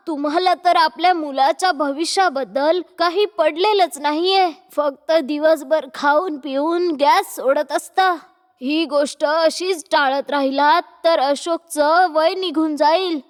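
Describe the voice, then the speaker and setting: A woman's voice: sits high, unhurried. A woman voicing a bossy, nagging middle-aged mother-in-law in a cartoon moral story. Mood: scolding. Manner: nagging, exclamatory, domineering. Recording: long hall-like reverb.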